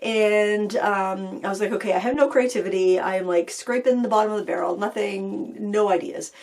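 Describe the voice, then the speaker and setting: Middle-aged lady chatting at home, bit raspy from being sick, lots of ums and restarts. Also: medium pitch, normal speed, darkish tone. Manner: rambling, many fillers and restarts. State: recovering from illness, a little raspy. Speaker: craft channel host. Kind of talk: casual chat to camera.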